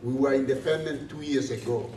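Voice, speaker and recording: A priest from South Sudan, giving a measured, earnest talk to a student gathering. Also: male voice, medium pitch, fairly even intonation, background noise. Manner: slow, measured, earnest speaking. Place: large room on a college campus. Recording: echoey room from far off.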